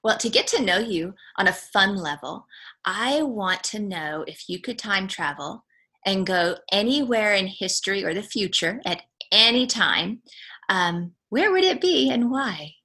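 A woman's voice: mid-range voice, expressive, measured pace, bright, forceful tone, breathy edge. A woman hosting an interview show, asking questions and encouraging her guest with frequent affirmations. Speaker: podcast host.

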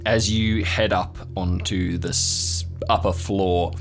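A man narrating an improvised tabletop adventure as game master, descriptive and pausing often mid-sentence. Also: deep voice, steady tone. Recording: music playing behind the voice. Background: background music.

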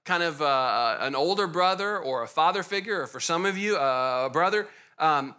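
Bright-voiced Texan pastor interviewing. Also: male voice, on the high side, animated, moderate pace. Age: young adult. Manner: friendly, joking, with hesitant restarts. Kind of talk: church interview.

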